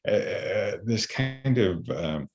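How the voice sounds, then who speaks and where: A man's voice: lowish voice, expressive, slow pace, darkish tone, soft background music. A Canadian man hosting an interview, talking earnestly and searching for words with many fillers. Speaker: interview host. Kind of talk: conversational interview.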